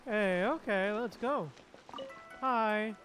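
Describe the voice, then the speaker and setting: A woman's voice: medium pitch, drawn-out words, a bit bright, soft background music, rough recording. A woman streaming a video game, giving casual, short reactions to what happens. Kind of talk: casual gameplay reaction commentary.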